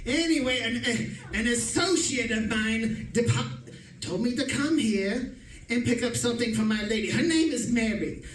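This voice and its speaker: A man's voice: very high voice, moderate pace, fairly bright tone. A man playing an exasperated, boastful old-time radio detective who rants theatrically about his misadventures in a comedic drama. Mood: curious. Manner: theatrical, ranting, emphatic. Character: exasperated, boastful male 1940s-style detective, dramatically rattled time traveler. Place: live stage performance in an echoey room. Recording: echoey room, distant mic, faint noise.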